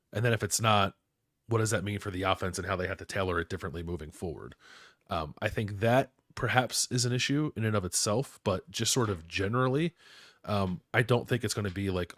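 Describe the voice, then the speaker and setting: Low-voiced man with a cold. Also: a bit bright, no room sound, clean studio sound. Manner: hesitant, clears throat. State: has a cold, losing his voice. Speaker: podcast co-host. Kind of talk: casual sports talk.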